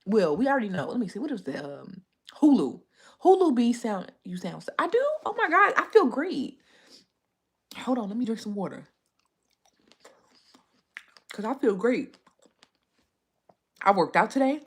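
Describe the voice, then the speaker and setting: A woman's voice: fairly high pitch, big pitch swings, a little slow, long pauses, husky. A woman hosting a casual livestream, chatting and answering viewer comments, a bit stuffy from a cold. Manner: chatty, rambling, reads viewer comments aloud. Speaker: livestream host.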